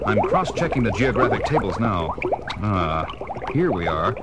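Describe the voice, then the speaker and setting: An actor with an American accent playing a stern, resolute crime-fighting detective in a formal, measured way for a 1970s children's audio drama. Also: male voice, big pitch swings. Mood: grave, intent. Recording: noisy old vinyl record, background rumble.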